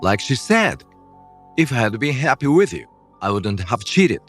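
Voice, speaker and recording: A brazen, callous husband acted by a man in a manga dub. His medium-pitched voice leaps widely in intonation. There is faint music behind him. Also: sing-song, measured pace. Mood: brazen, cold. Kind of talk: dubbed manga drama acting.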